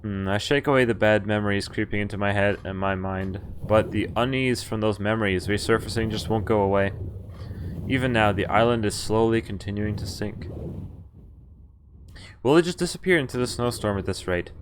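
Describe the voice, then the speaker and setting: Low-voiced man reading a visual novel aloud. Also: normal speed, background noise. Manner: stumbles over words while reading, breaks off to comment. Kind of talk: casual game playthrough, reading story text aloud with offhand comments. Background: game music and sound effects.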